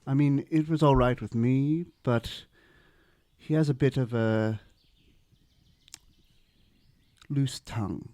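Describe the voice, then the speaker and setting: Man playing a solemn young demigod, mellow voice. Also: mid-range voice, steady tone, dry recording, natural voice. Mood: reserved. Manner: deliberate, solemn, halting. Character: earnest, socially awkward young male demigod, idealistic and ambitious. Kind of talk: improvised in-character tabletop roleplay.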